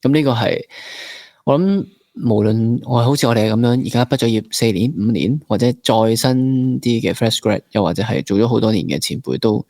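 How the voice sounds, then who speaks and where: A man's voice: medium pitch, moderate pace, mellow, no room sound. Hong Kong man interviewing, dry close sound. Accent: Hong Kong Cantonese. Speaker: interviewer, fellow actor. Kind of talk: conversational interview hosting.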